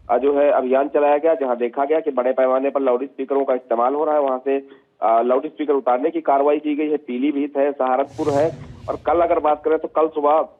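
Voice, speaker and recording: A man giving a live, unscripted news report as a field reporter, halting and repetitive with stock phrases. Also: fairly high pitch. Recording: tinny phone-like live link.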